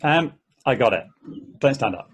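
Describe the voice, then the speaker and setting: A man's voice: medium pitch, big pitch swings, moderate pace, fairly bright tone, slight background noise. Man playing an easygoing, chatty husband. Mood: amiable. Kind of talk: amateur online table read of a play.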